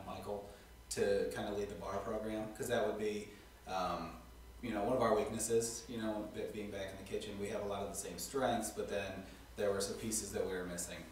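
A middle-aged man, a restaurant co-owner, answering interview questions in a halting way with many fillers. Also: on the low side, recorded from a distance, a little hiss or hum. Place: small studio on a couch. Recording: echoey room from off mic.

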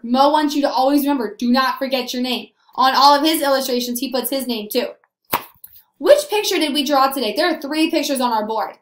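A young woman teaching small children, with exaggerated enthusiasm, emphatic drawn-out words and frequent questions. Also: high-pitched. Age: young adult. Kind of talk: animated lesson for young children. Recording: roomy, echoing indoor recording.

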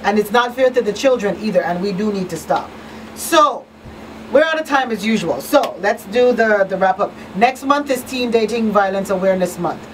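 A woman's voice: mid-range voice, lively intonation, normal speed, recorded from a distance, a little hiss or hum, poor audio. A middle-aged woman hosting a radio talk show, addressing listeners directly and emphatically. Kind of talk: public-service talk show wrap-up. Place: small indoor radio studio. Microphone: boom-mounted studio microphone.

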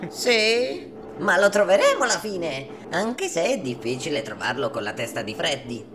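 A man's voice: fairly high pitch, big pitch swings, normal speed, background noise. A man voicing a cheerful, naive toy bunny robot in a cartoon fan dub, speaking in a bubbly singsong way.

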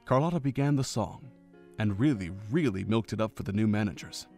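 A man narrating a fan audio drama in a steady storytelling way. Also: on the low side, a little fast, gravelly, soft background music.

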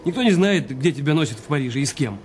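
An actor in a radio drama playing a resentful husband, defensive and sardonic, given to brooding. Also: male voice, a little high, animated, very fast, a little mellow, slight background noise, close to an everyday voice. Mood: defensive, irritated. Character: bitter resentful husband, a French masseur.

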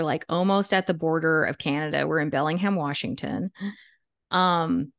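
A woman's voice: lowish voice, no room sound. Chatty woman guest, dry close mic. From United States. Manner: chatty, laughs often, many restarts. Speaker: podcast guest, chicken educator. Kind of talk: casual interview storytelling. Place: home room with bookshelves. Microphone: studio mic on video call.

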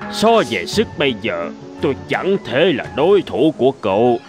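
A man's voice: mid-range voice, very animated, moderate pace, natural voice. Guy doing a tired sickly old hawk in a cartoon, plaintive n sorry, voice goes up and down, music under. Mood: humble, remorseful. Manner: weary, plaintive, sighing. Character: old, weary, ailing male sea hawk, remorseful. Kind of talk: animated fable voice acting.

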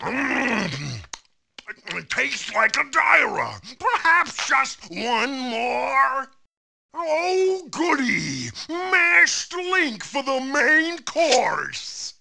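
Hammy cartoon-game monster, bombastic, gravelly edge, piercingly forceful, faint noise. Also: male voice, very high-pitched, very animated, extremely slow, exaggerated voice. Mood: gluttonous. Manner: hammy, melodramatic. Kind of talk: cartoon game villain acting.

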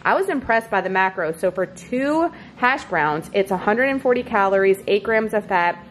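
A woman's voice: mid-range voice, lively intonation, normal speed, slight background noise, poor audio. A woman chatting casually through a grocery haul in her kitchen, upbeat and talking straight to viewers. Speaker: vlogger showing a grocery haul. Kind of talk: casual chat. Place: home kitchen, indoors.

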